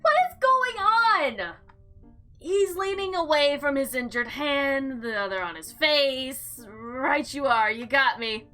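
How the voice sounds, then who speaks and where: A woman's voice: high voice, slow pace, pushed and bright. A woman playing a visual novel, reading it aloud expressively and dramatically with casual commentary. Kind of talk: let's play narration with casual commentary. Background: faint background music.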